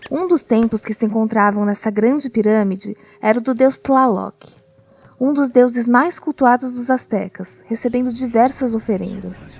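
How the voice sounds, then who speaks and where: A woman narrating a scripted audio drama as a storyteller, with measured, deliberate phrasing. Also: a little high, creaky, a little mellow. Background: music.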